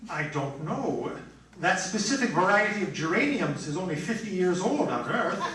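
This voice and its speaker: An actor playing a curious, unruffled scientist on a space expedition, making wry, musing remarks in a radio drama. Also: male voice, sits high, big pitch swings, moderate pace, crowd noise. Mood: thoughtful. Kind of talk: old-time sci-fi radio drama acting. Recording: very roomy, distant, faintly noisy.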